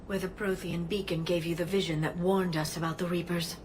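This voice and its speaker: An actress playing a composed female alien scientist, measured and earnest. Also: on the low side, level tone, darkish tone, slight background noise, lightly acted.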